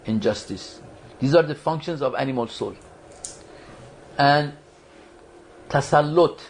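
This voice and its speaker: Man lecturing casually, higher voice. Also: takes it slowly, a little hiss or hum, rough recording. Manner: conversational, quizzes the audience, frequent restarts. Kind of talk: religious study lecture to a small group. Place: lecture room at a community foundation.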